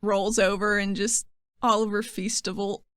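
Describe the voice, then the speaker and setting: A woman's voice: mid-range voice, little pitch movement, normal speed, a bit bright, clear, resonant tone, no room sound, studio quality. A woman casually narrating her character's actions as a player in a tabletop roleplaying game.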